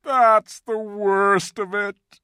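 A woman voicing a tearful, melodramatic male fawn in a children's storybook, full of self-reproach, with a woeful, drawn-out wail. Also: medium pitch, fairly even intonation, clear, resonant tone, no echo, clean studio sound, pitched above the performer's own voice. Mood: anguished confession. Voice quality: loud sobbing wail.

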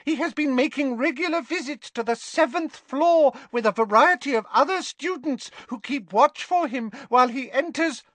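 A man voicing an excitable, loyal male house elf with a high, squeaky voice. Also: moderate pace, hardly any pauses, no room sound. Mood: eager. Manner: squeaky, breathless, speaks of himself in the third person.

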